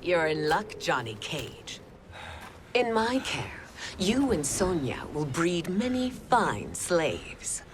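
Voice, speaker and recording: A woman's voice: lowish voice, huge pitch swings, takes it slowly, noisy background, full character voice. An actress playing a cruel, condescending villain queen in a video game cutscene, speaking in a silky, cold voice. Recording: orchestral game score behind.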